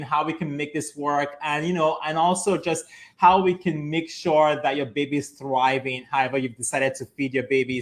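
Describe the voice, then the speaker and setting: Upbeat male physician host, higher-pitched, encouraging interviewer. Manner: warm-spoken, enthusiastic, affirming interviewer. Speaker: physician host of a weekly live show. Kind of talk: casual live interview.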